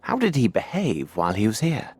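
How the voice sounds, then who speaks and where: Casting: British gentleman sleuth for a game, composed, authoritative, slightly husky, very varied intonation. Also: fairly low pitch, huge pitch swings, a little fast, heavily acted. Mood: coolly probing. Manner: formal, authoritative, precise. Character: sharp, composed gentleman detective, self-assured and inquisitive. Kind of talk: video game voice acting.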